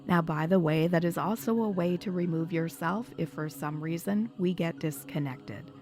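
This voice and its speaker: A woman hypnotherapist leading a guided meditation in a soothing, measured, instructional manner. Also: on the low side, darkish tone. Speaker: hypnotherapist guiding a session. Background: background music.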